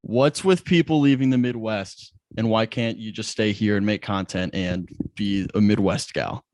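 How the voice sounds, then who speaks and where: A man's voice: lowish voice, normal speed, a little mellow, dry recording. A young man from Indiana hosting a podcast interview, chatty and self-deprecating, often restarting his sentences. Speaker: podcast host. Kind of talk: casual interview chat.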